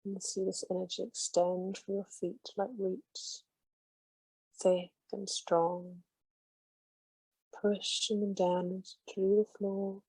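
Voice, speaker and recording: A woman gently guides listeners through a meditation in a slow, soothing way. Her voice is mellow and slightly breathy, with very little rise and fall. The sound is close and dry. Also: mid-range voice, dry recording, poor audio.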